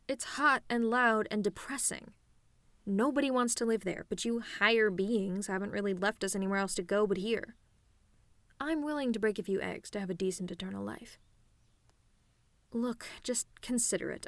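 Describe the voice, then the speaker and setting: A woman's voice: medium pitch, dry recording, natural voice. A woman playing a cocky, sarcastic tomboy demon with a casual, teasing delivery in an audio roleplay. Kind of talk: ASMR-style scripted roleplay monologue.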